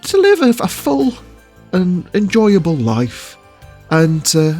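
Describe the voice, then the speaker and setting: A man's voice: high voice, wildly swooping pitch, slow pace, a little mellow, soft background music. A man giving casual interview answers as a voice actor, choosing his words with hesitation and often chuckling.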